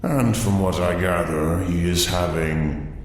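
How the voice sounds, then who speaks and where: A man's voice: low-pitched, slow pace. A man playing a smug, sinister cultist creature in a fantasy audio drama, with mock courtesy. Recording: very echoey, distant, faint noise.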